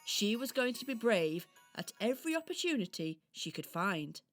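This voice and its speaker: A woman narrating a scripted children's radio story in a deliberate storytelling manner. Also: medium pitch, very animated, faint music behind.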